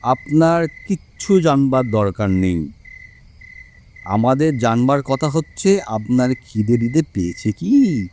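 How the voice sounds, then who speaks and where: An actor playing an assured, dryly teasing detective in an audio story. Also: male voice, mid-range voice, sing-song, takes it slowly, warm tone. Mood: wry.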